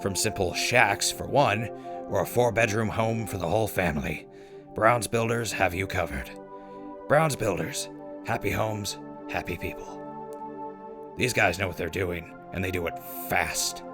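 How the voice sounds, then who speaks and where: A man plays a laid-back wasteland DJ with a low voice in an audio drama. He reads news and ads in a wry, grumbling, informal way over clearly audible music. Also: a little slow, natural voice. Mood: wry, easygoing. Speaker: radio host and news reader. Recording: music behind voice.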